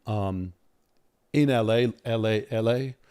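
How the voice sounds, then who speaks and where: A man's voice: on the low side, slow, dark tone, smooth. A middle-aged man from Armenia with an Armenian accent, Armenian his native tongue, talking earnestly as a guest lawyer in a podcast interview and often repeating words as he starts a thought. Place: podcast studio.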